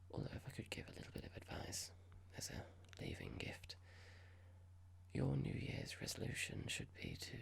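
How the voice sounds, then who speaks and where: A performer playing a cold, smug corporate boss in a whispered ASMR roleplay, speaking slowly and deliberately with breathy creak and mock politeness. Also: medium pitch, exaggerated voice. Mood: smug, condescending.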